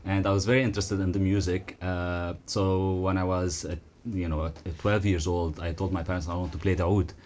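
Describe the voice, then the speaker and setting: Deep-voiced man, earnest. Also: flat delivery, a little mellow, a little hiss or hum. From Lebanon. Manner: earnest, halting with fillers. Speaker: oud player. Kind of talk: casual interview answers. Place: radio studio.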